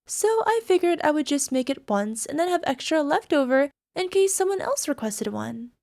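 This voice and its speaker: A woman's voice: high-pitched, expressive, normal speed, vocal fry, darkish tone, no room sound, clean studio sound. A woman playing a lovesick, possessive young alchemist in an ASMR girlfriend roleplay, speaking in a coy, coaxing, sweetly menacing way. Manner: coy, coaxing, giggly, tag questions. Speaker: voice actor.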